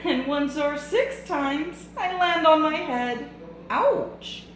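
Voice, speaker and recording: A woman voicing a playful young male kitten with a put-on Spanish accent, bouncy and loud. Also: high voice, expressive, slow, slight background noise, voice pushed up. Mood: excited. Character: playful young kitten who thinks he is a Chihuahua. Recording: echoey room.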